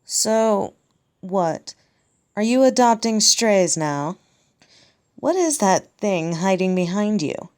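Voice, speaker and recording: A woman's voice: mid-range voice, animated, takes it slowly, long pauses, a little mellow, smooth. Girl doing a smug mocking dragon princess, bratty younger sister, drawly, voice swings up and down, audio drama. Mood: irritated, sneering. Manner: sarcastic, drawling, taunting. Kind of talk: ASMR roleplay audio drama acting.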